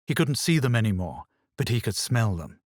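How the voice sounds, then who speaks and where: An actor playing a haughty, self-assured adventurer in a fantasy video game, with a measured, dramatic and superior delivery. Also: male voice, mid-range voice, very animated, darkish tone, no room sound, studio quality. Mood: narrating, solemn. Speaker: voice actor of a player character. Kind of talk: scripted video game character lines and narration.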